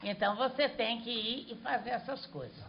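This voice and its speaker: An older woman speaking Brazilian Portuguese, an artist answering questions after a talk, telling lively stories and laughing as she goes. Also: fairly high pitch, moderate pace, gravelly. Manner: lively storyteller, laughs while telling anecdotes. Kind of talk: lecture Q&A, conversational. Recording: muffled lo-fi hall recording with faint noise.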